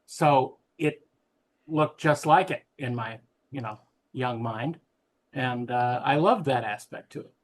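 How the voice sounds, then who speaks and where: A man's voice: medium pitch, slow. A middle-aged man co-hosting a podcast in casual fan conversation. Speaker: podcast co-host.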